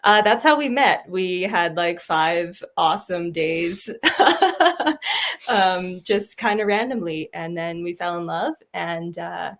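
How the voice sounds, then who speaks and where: A woman's voice: lowish voice, expressive, takes it slowly, fairly bright tone. A woman from Canada whose first language is sign language, a musician guest telling stories cheerfully and laughing easily. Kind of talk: casual interview chat.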